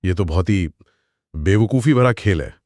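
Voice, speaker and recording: Low-voiced man, lively analytical co-host. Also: moderate pace, no room sound, clean studio sound. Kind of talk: analytical conversational commentary.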